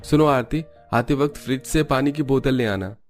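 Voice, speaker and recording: A man's voice: mid-range voice, moderate pace, faint music behind, close to an everyday voice. Man playing an easygoing young husband. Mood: casual.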